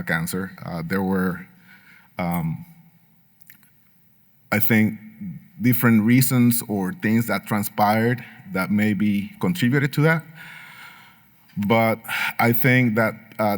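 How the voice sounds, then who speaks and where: Low-voiced Puerto Rican man speaking. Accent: Puerto Rican Spanish. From Puerto Rico. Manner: frequent fillers, light humor, earnest. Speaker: patient speaker at a medical symposium. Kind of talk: conference talk.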